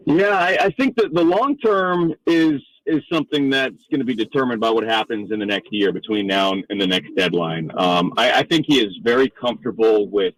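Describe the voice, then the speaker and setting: A man's voice: medium pitch, moderate pace, hardly any pauses, a bit bright, no room sound, rough recording. A man from the Northeastern United States, a sports announcer, talking conversationally and wordily as a radio interview guest.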